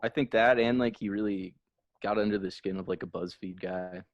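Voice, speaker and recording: A man's voice: lowish voice, fairly even intonation, moderate pace, no room sound, lo-fi sound. A man co-hosting a podcast, riffing casually with friends and swearing freely. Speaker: podcast host. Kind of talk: casual comedic banter.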